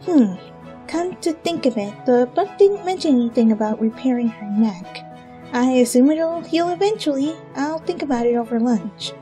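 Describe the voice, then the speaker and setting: A woman voicing an earnest, bookish unicorn princess spellcaster in a story reading, speaking formally and deliberately. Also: high voice, animated, mellow, faint music behind, pitched above the performer's own voice. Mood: thoughtful.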